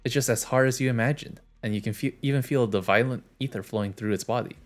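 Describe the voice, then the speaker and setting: A man streaming a game reads its story text aloud in a casual, plain way, stumbling and restarting now and then. His voice sits at a middle pitch, with faint game music behind it. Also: quick pace. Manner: casual, plain reading with small restarts and asides. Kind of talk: reading game dialogue aloud.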